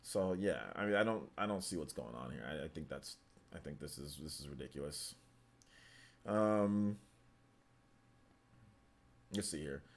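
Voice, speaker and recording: Animated young man hosting a live stream. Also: a bass voice, unhurried, pauses often. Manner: animated, incredulous, rambling with many restarts. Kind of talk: casual live stream chat, reading comments.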